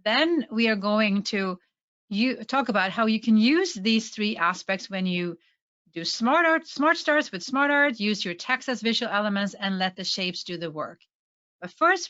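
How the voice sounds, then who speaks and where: A woman with a Swedish accent presents a webinar in an enthusiastic, friendly teaching manner. Her voice sits at a middle pitch and comes through a video call with slightly dull, lo-fi sound. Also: animated, a little slow, a bit bright. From Sweden. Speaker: presentation trainer.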